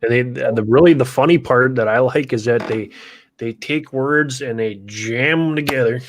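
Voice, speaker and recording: A man's voice: medium pitch, normal speed, slight background noise. Easygoing Wisconsin man chatting on a stream. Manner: rambling, easygoing, laughs often. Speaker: livestream host. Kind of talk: casual livestream chat. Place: home studio.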